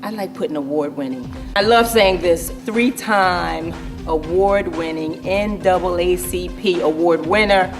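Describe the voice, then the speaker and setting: A woman's voice: on the low side, unhurried, over music. A woman hosting a celebrity interview show, effusive and emphatic, repeating words as she introduces and praises guests. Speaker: talk show host, interviewer.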